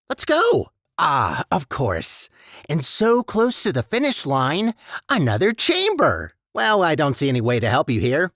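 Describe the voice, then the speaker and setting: An actor voicing a talkative, eager and sardonic robot companion in a video game, with casual, expressive delivery. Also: medium pitch, dry recording, studio quality.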